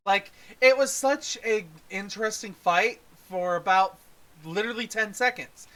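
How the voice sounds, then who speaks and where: Halting, casual review co-host, mid-pitched voice. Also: crowd noise. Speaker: podcast co-host reviewing animated films.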